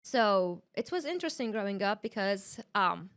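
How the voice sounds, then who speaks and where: A woman's voice: mid-range voice, big pitch swings, moderate pace, fairly bright tone, clean tone. Casting: female interview guest from Iraq, middle-register voice, casual and explanatory with loose phrasing. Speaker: podcast guest.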